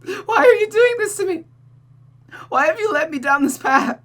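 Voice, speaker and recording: A woman's voice: extremely high pitch, lively intonation, moderate pace, pitched above the performer's own voice. A woman voicing a desperate, sobbing young woman who pleads and stammers, read from a visual novel. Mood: anguished, crying. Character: Rosa, sobbing desperate young woman devoted to cruel Mother. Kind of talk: visual novel character reading.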